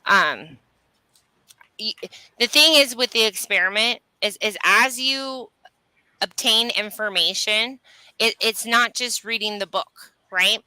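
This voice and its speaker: A woman's voice: on the high side, slow, pushed and bright, clear, resonant tone, no echo, poor audio. A woman explaining things earnestly in a conversational coaching style on a video call, often checking in with the listener. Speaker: human design reader and coach. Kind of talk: conversational coaching on a live stream.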